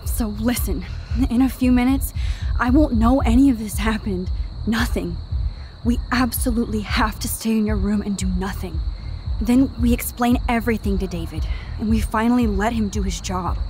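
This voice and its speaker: A woman's voice: a little high, normal speed, natural voice, low-quality recording. A woman playing an anxious, earnest young student. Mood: urgent. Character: shy young woman student who can rewind time. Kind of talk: video game voice acting. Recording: game audio with loud storm noise.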